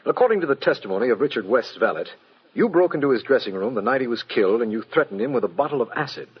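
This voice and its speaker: Husky, stern lawman. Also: medium pitch, animated, fast. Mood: stern, pointed. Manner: formal, pointed, official. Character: stern, formal male city official questioning suspects. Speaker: radio drama actor. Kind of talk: old-time radio mystery acting. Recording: dull, muffled old radio recording.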